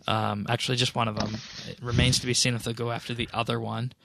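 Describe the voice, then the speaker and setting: A man's voice: medium pitch, little pitch movement, quick pace, creaky, a bit bright, no echo. A man co-hosting a casual gaming podcast, explaining things in a matter-of-fact way. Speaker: podcast co-host. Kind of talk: casual panel discussion about online games.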